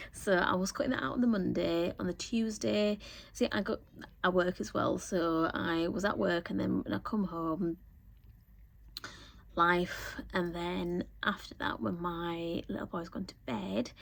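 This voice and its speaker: A woman, a little croaky from a cold, chatting casually to camera about her sewing week, rambling with lots of ums. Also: lowish voice. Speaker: sewing vlogger.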